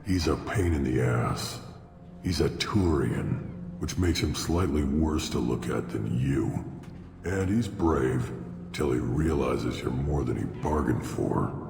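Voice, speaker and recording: A man voicing a gruff, boastful, impatient alien mercenary leader in a video game, gravelly and bluntly contemptuous. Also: a bass voice, slow, a little mellow, soft background music, full character voice. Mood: gruff, dismissive. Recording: roomy hall reverb, faint noise.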